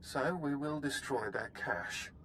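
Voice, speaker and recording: An actor playing a resolute, ruthless military strategist in a video game, speaking formally and sternly. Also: male voice, medium pitch, slow, pushed and bright, slight background noise. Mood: resolute, briefing. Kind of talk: scripted game dialogue.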